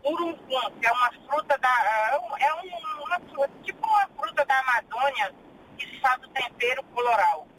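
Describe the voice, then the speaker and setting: A woman's voice: high-pitched, expressive, normal speed. A woman with a northern Brazilian accent, explaining things informally and repetitively as a guest on a distant, noisy call from a vehicle. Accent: northern Brazilian Portuguese. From Pará, northern Brazil. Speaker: interview guest. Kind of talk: casual phone chat. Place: inside a vehicle on the road.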